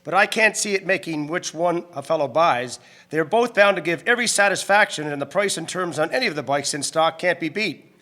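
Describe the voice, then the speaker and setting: Radio drama supporting role: well-informed, agreeable 1890s store man, male actor, slightly high and bright, informative delivery. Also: animated, fairly quick. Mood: impressed. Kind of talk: community radio drama acting in early live radio manner.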